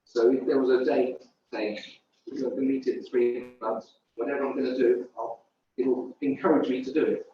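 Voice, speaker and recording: Halting man speaking in an echoey hall. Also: mid-range voice, level tone, slight background noise. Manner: halting, repeats words. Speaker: audience member. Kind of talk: open discussion at a public event. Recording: echoey hall, distant mic, dull.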